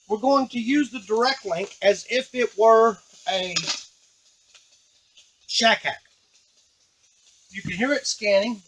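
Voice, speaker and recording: A man's voice: sits high, fairly bright tone, a little hiss or hum, poor audio. A man giving a casual, unscripted tutorial on a device, explaining step by step to the viewer as he works. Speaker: device demonstrator.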